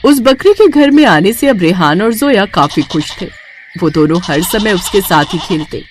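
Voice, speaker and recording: A woman's voice: mid-range voice, lively intonation, moderate pace, background noise. A woman narrator reading a scripted moral story for children.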